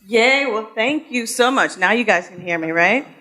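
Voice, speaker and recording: A woman's voice: a little high, lively intonation, normal speed, sharp tone, faint music behind. A woman moderating a live panel before an audience, upbeat and folksy, calling the room y'all.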